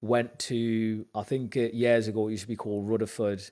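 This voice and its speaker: Low-voiced Newcastle man telling stories. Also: brisk. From Zambia. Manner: animated storyteller acting out quoted dialogue, lifts in pitch when excited. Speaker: podcast guest, actor and musician. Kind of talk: casual storytelling chat.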